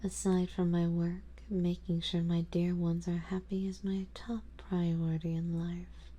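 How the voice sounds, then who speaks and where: A woman playing a doting, possessive, genteel vampire in a whispered ASMR roleplay, speaking softly and coaxingly in a breathy whisper. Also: on the low side, flat delivery, measured pace. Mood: tender, possessive. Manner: soft, coaxing, genteel, indulgent.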